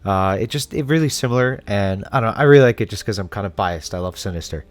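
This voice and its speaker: A man's voice: fairly low pitch, animated, fast, no echo. A man hosting a casual analysis video, talking conversationally with wry asides. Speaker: host.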